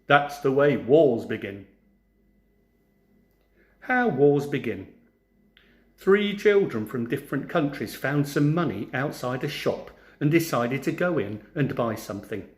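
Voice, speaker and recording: Animated man reading to children, echoey room. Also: medium pitch, measured pace. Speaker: teacher reading a story to children. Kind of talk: storybook narration for children. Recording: roomy, echoing sound.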